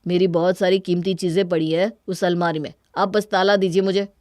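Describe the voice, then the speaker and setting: A woman's voice: fairly low pitch, steady tone, fairly quick, darkish tone, no room sound, clean studio sound, close to an everyday voice. A woman voicing a suspicious, bitter young husband in a cartoon family story. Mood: insistent. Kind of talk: Hindi cartoon moral-story voice acting.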